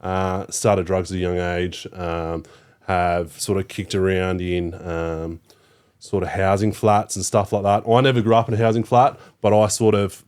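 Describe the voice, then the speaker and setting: A man's voice: low-pitched, flat delivery, moderate pace, darkish tone, clear, resonant tone. Laid-back Australian man in his thirties. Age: 30s. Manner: laid-back, swears freely, many fillers. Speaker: podcast guest, youth worker. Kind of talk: casual interview chat.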